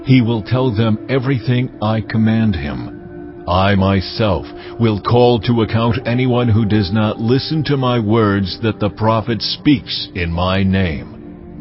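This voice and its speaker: God, low divine voice, solemn, commanding, slow grave delivery, scripture audio drama, cinematic music clearly behind. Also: male voice, dull audio. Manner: slow, grave, authoritative pronouncements.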